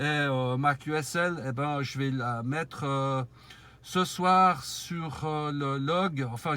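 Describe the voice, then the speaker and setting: A man's voice: fairly high pitch, fairly bright tone. A man chatting casually and courteously as an amateur radio operator, with friendly exclamations. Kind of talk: casual radio contact chat with call signs.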